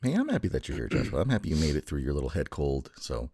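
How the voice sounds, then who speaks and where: A man's voice: rumbling low, huge pitch swings, quick pace, dark tone, breathy edge, dry recording, studio quality. A man hosting a podcast in casual chat, playfully teasing and swearing freely. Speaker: podcast host.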